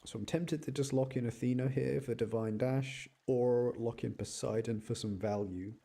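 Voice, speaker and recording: A man's voice: mid-range voice, normal speed, creaky, mellow. A man giving casual, chatty live game commentary, thinking aloud and explaining choices to his chat in an apologetic way. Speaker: game streamer talking to chat.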